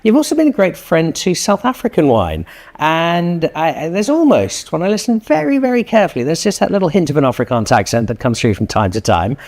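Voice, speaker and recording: Lively male wine show host. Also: fairly high pitch, a little fast. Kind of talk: interview hosting. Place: hotel room.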